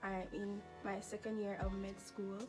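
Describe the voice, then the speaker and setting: A woman's voice: mid-range voice, completely flat delivery, normal speed, darkish tone, faint music behind. A nineteen-year-old woman from Jamaica with a Jamaican accent, chatting casually in a Q&A vlog, laughing often and filling with "you know" and "like". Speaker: vlogger.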